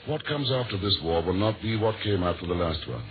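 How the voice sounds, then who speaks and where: A man delivering a formal, scripted 1940s radio address in solemn, deliberate oratory. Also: low voice, animated. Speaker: speaker reading a signed statement. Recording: tinny vintage 1940s radio, faint music.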